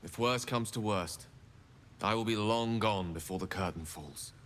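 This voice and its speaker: An actor playing a scholarly, guilt-ridden wizard in a video game, speaking in an eloquent, measured, theatrical storytelling manner. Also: male voice, lowish voice, a bit bright, in a large hall, a little hiss or hum. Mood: grave resolve. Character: wistful, guilt-ridden scholarly wizard man telling his past. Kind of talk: dramatic video game dialogue. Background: music.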